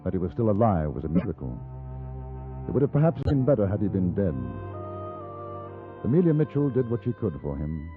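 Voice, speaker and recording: An actor with a British accent playing a courteous, measured gentleman newspaper correspondent in a 1950s radio drama. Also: on the low side, very animated. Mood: sombre narration. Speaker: lead actor and narrator. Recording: muffled 1950s radio recording, music behind.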